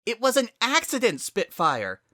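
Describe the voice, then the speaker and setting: A wounded, remorseful young female pony from a story reading, played by a man. Her voice sits high and quivers as she pleads. The sound is dry and very expressive. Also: moderate pace, dry recording, heavily acted. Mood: desperate yelling.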